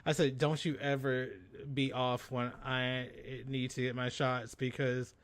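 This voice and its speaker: A man co-hosting a podcast in casual, bawdy chat, telling stories in a sassy, animated way. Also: medium pitch, a little slow, clean tone. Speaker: podcast co-host.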